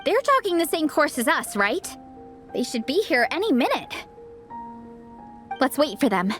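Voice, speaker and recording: A woman playing an energetic, friendly young sporty team leader in a video game, upbeat and encouraging. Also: sits high, normal speed, faint music behind. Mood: relaxed upbeat. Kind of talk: video game cutscene voice acting.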